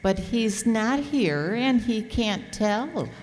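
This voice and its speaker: A woman's voice: a little high, animated, slow pace, darkish tone. A woman acting an inquisitive, teasing little girl who stubbornly doubts, in a radio play. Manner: teasing, matter-of-fact questioning. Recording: echoey hall, noisy live stage recording.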